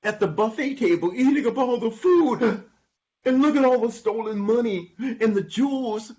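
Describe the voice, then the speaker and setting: A man voicing a bossy, excitable donkey in a children's story, exclaiming in a folksy way. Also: on the high side, moderate pace, pitched above the performer's own voice. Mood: eager. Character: bossy, excitable male donkey, leader of animals. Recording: dull, lo-fi recording.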